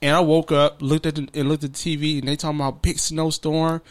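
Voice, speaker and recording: A man's voice: on the high side, little pitch movement, fast talker. Laid-back man hosting a podcast. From Detroit. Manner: laid-back storytelling, slangy. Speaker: podcast host. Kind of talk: casual podcast chat.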